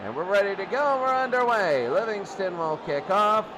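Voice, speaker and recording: A man's voice: high voice, expressive, normal speed, noisy background. A man hosting a hobby football game, explaining the rules and then calling the plays in an old-time radio announcer style.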